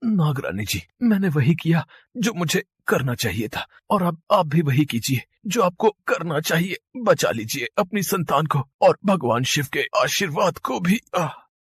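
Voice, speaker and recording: A man's voice: fairly high pitch, sing-song, unhurried, fairly bright tone, husky, no echo, voice pushed up, exaggerated voice. A man voicing a loyal, devoted serpent army commander who pleads anxiously and urgently in a fantasy story. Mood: dying, devoted.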